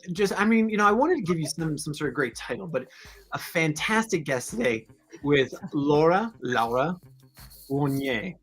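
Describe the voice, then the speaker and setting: A man's voice: fairly high pitch, a bit bright. Rambling, enthusiastic male host. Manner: enthusiastic, rambling, many restarts. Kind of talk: live video interview.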